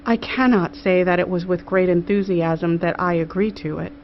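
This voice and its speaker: A woman voicing an earnest, gracious queen giving a formal, measured address to her council in an audiobook. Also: lowish voice, fairly even intonation, natural voice. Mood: wry, warm. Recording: muffled audio with clear background noise.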